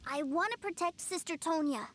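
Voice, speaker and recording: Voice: extremely high pitch, normal speed. A performer voicing an eager, stubborn little boy in a video game, with music behind.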